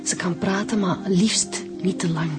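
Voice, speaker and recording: An actress plays a calm, protective Flemish woman in a radio crime drama. Her voice is fairly low and careful, with music behind. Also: unhurried, natural voice. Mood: quiet, careful.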